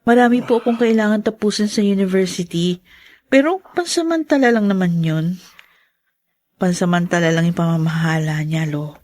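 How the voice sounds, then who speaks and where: An actress playing a polite, earnest young university student in a radio drama. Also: female voice, lowish voice, moderate pace, darkish tone, lightly acted.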